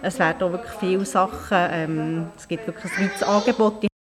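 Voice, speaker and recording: A woman speaking fluently and warmly about a community group. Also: on the low side, steady tone, a little fast. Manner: friendly, fluent. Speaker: interviewee. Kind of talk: casual interview answers.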